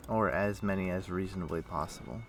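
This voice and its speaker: A man livestreaming a game, chatting casually and thinking aloud in a rambling way, with a rough morning voice. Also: deep voice, brisk, a little hiss or hum. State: rough morning voice, throat clearing. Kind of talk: casual chat while playing an online game.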